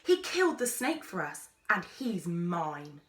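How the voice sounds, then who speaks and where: A woman's voice: lowish voice, sing-song, takes it slowly, fairly bright tone, full character voice. A woman voicing a small, defiant boy in a children's storybook, indignant and insistent. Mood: righteous fury. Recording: roomy echo, distant microphone.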